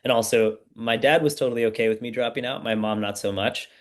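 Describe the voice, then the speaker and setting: A man in his thirties from the United States, a startup founder guest telling his story in a relaxed, casual way with plenty of fillers. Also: mid-range voice, fast talker. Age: 30s. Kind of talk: casual podcast interview.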